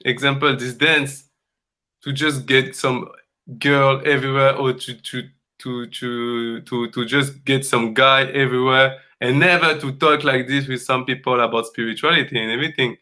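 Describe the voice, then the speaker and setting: A man from Congo, speaking as a dance coach in earnest, halting English and repeating phrases for emphasis. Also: medium pitch, fairly even intonation, pushed and bright. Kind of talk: conversational coaching talk.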